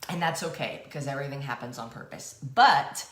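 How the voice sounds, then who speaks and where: A woman with a low voice co-hosts a casual talk show in an echoey room. She rambles playfully, wanders off on tangents and pokes fun at herself. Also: fairly quick. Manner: playful, self-deprecating, digressive. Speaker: co-host of a coaching show.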